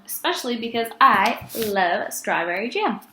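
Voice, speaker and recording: A woman teacher reading a storybook aloud to children in an expressive, animated way. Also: on the high side, moderate pace, echoey room, slight background noise. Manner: animated, addresses children as friends.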